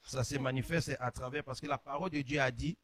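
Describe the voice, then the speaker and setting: A man's voice: medium pitch, fairly even intonation, fast talker, fairly bright tone, no room sound. Man hosting, dry sound. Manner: affirming interjections, amen and hmm. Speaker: host.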